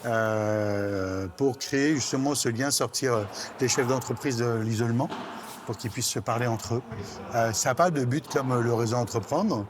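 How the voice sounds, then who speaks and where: A man in his sixties answers questions in a casual, conversational interview. His voice sits at a middling pitch, and clear ambient noise runs behind him in a large premises. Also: normal speed. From born in Cameroon, raised in central Africa by French parents. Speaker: interview guest, recently retired business network member.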